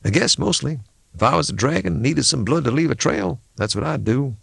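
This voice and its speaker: Sardonic, folksy backwoods man, pitched up by a male reader. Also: lively intonation, brisk, gravelly, fairly bright tone, breathy edge, no room sound, clean studio sound, heavily acted. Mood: sardonic, insistent. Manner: folksy, needling rural speech. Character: unruffled, sardonic backwoods man, insistent and preachy. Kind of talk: audiobook character dialogue.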